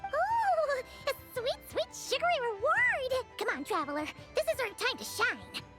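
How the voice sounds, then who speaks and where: A woman voicing a tiny, excitable, sweet-loving companion creature in a video game, squeaky and exclamatory. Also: a little slow, over music, exaggerated voice. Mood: greedy, excited. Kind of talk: cartoonish video game voice acting.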